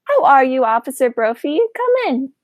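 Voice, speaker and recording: Young woman playing a fluttery, high-voiced sweet old aunt. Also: sing-song, normal speed. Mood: sweet, chatty. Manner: genteel, fluttery, coaxing. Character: sweet, fussy, chatty elderly aunt, blithely cheerful. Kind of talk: high school radio play acting.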